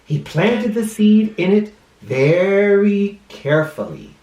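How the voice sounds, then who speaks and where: A male teacher reading a picture book aloud to children expressively, pausing dramatically and explaining words in simple terms. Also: sits high, big pitch swings, darkish tone. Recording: roomy, echoing room sound.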